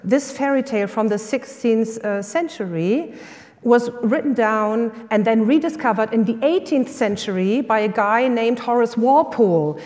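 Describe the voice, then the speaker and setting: A woman's voice: on the high side, darkish tone, in a large hall. A middle-aged woman with a German accent gives a conference talk in English, enthusiastic, with many fillers and restarts. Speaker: summit speaker, lecturer and coach.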